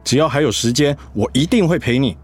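An actor playing a devoted, worried husband in a radio drama, earnest, affectionate and soothing. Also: male voice, medium pitch, lively intonation, brisk, slightly rough, faint music behind. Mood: earnest, apologetic. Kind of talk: Mandarin radio drama acting.

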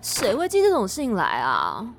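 A woman playing an easygoing young female piano teacher in a radio drama, expressive and full of exclamations. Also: a little high, unhurried. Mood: breezy, curious.

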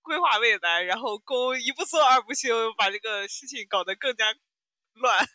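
A woman talks casually as a podcast co-host. Her voice is bright and very animated in its melody, with a playful, teasing manner. The sound is close and dry. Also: a little high, normal speed, no room sound. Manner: playful, giggly, teasing storytelling. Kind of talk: casual discussion of audio dramas.

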